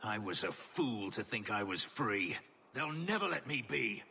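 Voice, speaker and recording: A brooding game warrior who escaped slavery, with a forceful, grim delivery. The low, intense voice rings with long reverb over faint noise. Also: male voice, big pitch swings, unhurried, gravelly, exaggerated voice. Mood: bitter, urgent. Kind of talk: video game voice acting. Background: faint ambience.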